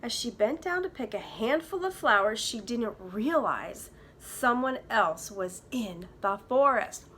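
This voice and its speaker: A woman's voice: a little high, expressive, unhurried, few pauses, fairly bright tone, a little hiss or hum. A woman preschool teacher reading a storybook aloud to young children, with dramatic emphasis.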